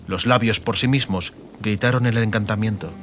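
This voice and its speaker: A man narrating a fantasy audiobook dramatically, in measured, emphatic phrases. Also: on the low side, steady tone. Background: music and atmospheric sound effects.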